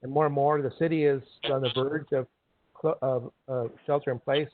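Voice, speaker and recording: A man's voice: mid-range voice, fairly even intonation, normal speed, no echo. A man giving an informal work update as a participant on a conference call. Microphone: conference call line. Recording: dry, narrow phone-line sound.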